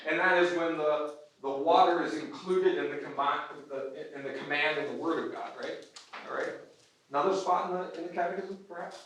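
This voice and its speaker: Guy with a slightly high voice giving a teacher-type talk, kinda earnest and informal, echoey room. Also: a little fast, a bit bright, a little hiss or hum. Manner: earnest, informal, often adds "right?" as a check. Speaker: pastor, schoolteacher and conference speaker. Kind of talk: conference lecture with audience questions. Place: room at a church and school, before an audience.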